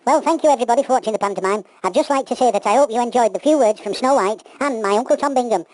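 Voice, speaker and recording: A woman's voice: on the high side, rapid-fire, darkish tone, no echo, lightly acted, poor audio. A woman voicing an eager, affectionate young male bulldog superhero in a children's pantomime story. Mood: cheerful, grateful.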